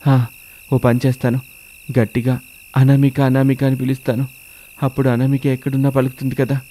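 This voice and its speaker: A man's voice: medium pitch, monotone, a little fast, mellow, a little hiss or hum, natural voice. Man playing a worried, devoted young husband. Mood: worried, resolute. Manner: earnest, talking to himself.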